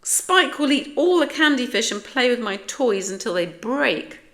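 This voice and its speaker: A woman's voice: lowish voice, a little slow, close to an everyday voice. A British woman voicing an anxious, plaintive young boy cat who grumbles in a storybook. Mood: complaining.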